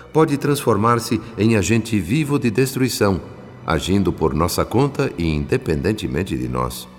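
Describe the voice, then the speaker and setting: An actor with a Brazilian accent playing a grave, benevolent spirit who narrates a radio soap opera in solemn, literary, deliberate phrasing. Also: male voice, sits low. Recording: long reverb, music underneath.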